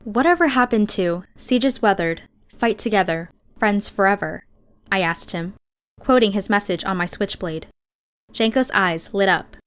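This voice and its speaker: A woman's voice: medium pitch, unhurried, clear, resonant tone. A woman reading scripted first-person fiction as an audiobook narrator.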